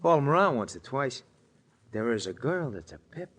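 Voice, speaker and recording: An actor playing a chatty, streetwise cab driver with a breezy, slangy 1940s radio delivery. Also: male voice, medium pitch, big pitch swings, normal speed, a bit bright.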